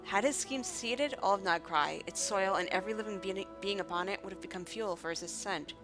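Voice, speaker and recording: A woman's voice: medium pitch, a little fast, music in the background, natural voice. A woman voicing a solemn, ancient guardian woman from a fantasy game, telling of old tragedy in formal, grave words. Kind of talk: fantasy game dialogue read aloud.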